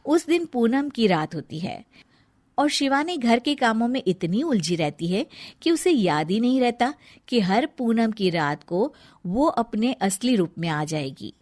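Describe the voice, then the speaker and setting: A woman's voice: on the high side, very animated, a little slow, a little mellow. A woman narrating a scripted children's story as a storyteller.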